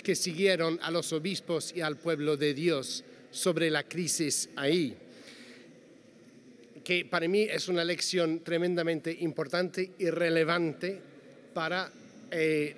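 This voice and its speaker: A man giving a prepared, measured talk as a panel speaker, reading carefully and quoting passages, speaking Spanish as a to a conference audience. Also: a little high. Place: large conference hall. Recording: long hall reverb, faint background noise.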